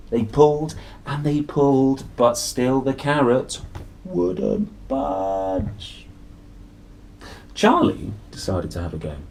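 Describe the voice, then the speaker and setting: Animated male storyteller, swooping pitch. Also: a little hiss or hum, rough recording. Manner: playful, exclamatory, drawn-out storytelling for children. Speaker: host reading picture books aloud. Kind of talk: animated storybook read-aloud with chatty asides.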